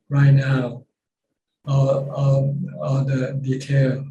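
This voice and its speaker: A man with a Thai accent teaching as a Buddhist monk in an informal question-and-answer talk, halting and plain-spoken. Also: medium pitch, dark tone. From Thailand. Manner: halting, with pauses and repeated phrases. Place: video call from a roomy space. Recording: roomy distant mic with background noise.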